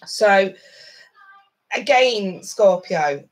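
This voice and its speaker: A woman's voice: medium pitch, expressive, extremely slow, low-quality recording. A woman doing a casual tarot card reading, with a stuffed-up nose and a blunt, foul-mouthed way of talking. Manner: blunt, swears freely, chatty asides. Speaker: tarot reader.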